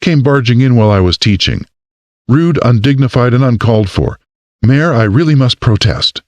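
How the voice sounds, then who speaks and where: A man's voice: mid-range voice, sing-song, normal speed, a little mellow, dry recording, voice pushed up. A male narrator voicing a prim woman schoolteacher, prissy and protesting. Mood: indignant.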